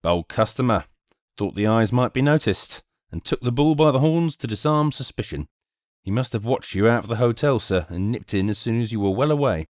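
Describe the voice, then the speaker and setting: A shrewd Scotland Yard policeman played by a man reading aloud in an audiobook mystery. He is gruff and terse, with clipped phrases and some lively movement in pitch. The sound is dry. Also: a little fast, no echo, lightly acted. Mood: matter-of-fact.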